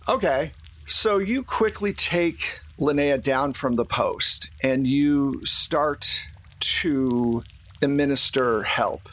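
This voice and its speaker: A man acting as game master, casually narrating a tabletop roleplaying game. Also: mid-range voice.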